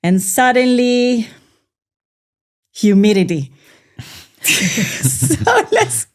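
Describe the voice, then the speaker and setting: A woman hosting a round-table podcast interview, upbeat and quick to laugh, with loose, filler-heavy phrasing. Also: on the high side, huge pitch swings. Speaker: podcast host.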